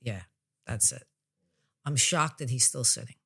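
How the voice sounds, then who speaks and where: A woman's voice: very deep voice, unhurried, gravelly, bright, forceful tone, breathy edge. A plain-spoken woman talking casually as a psychic medium and podcast host, explaining her work in an informal way. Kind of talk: casual conversational explanation of spiritual healing work.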